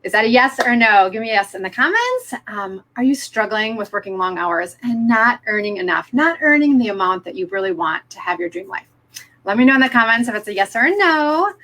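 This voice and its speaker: A woman's voice: fairly high pitch, big pitch swings, brisk, pushed and bright, rough recording. A middle-aged woman presenting live online as a business coach, upbeat and encouraging, speaking directly to her viewers.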